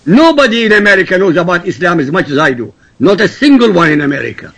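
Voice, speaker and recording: A man's voice: high voice, very animated, fast, creaky, fairly bright tone, husky. A man from Iraq, a native Arabic speaker, debating politics in a blunt, boastful and emphatic manner. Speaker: panel guest.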